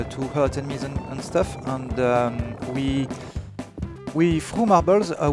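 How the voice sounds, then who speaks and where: A young Frenchman with a French accent talks through a live speedrun, hesitant and full of fillers as he plays. His voice sits at a middle pitch. Clear game music plays under his talk. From France. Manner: hesitant, many fillers and restarts. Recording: game music playing behind.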